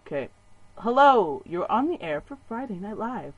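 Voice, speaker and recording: Brief: playful host of a make-believe call-in show, lively pitch and dramatic. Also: female voice, normal speed, dry recording, lo-fi sound. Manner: dramatic, exclaiming, animated. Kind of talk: playful pretend radio hosting.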